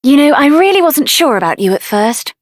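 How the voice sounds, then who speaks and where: An actress voicing a spirited, headstrong young woman adventurer in a video game, expressive and earnest, with a tender side. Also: sits high, brisk, no echo, studio quality. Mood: tender, happy.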